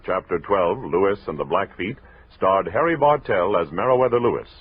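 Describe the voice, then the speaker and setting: A man's voice: low-pitched, animated, moderate pace, slightly rough. A man reading closing credits as a formal 1960s radio announcer. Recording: muffled old radio recording.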